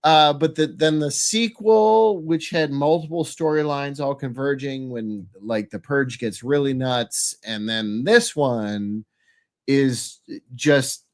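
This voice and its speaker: A man with a head cold, nasal and congested, hosting a casual show in a halting, rambling way. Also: mid-range voice. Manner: halting, searching for words. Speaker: podcast host.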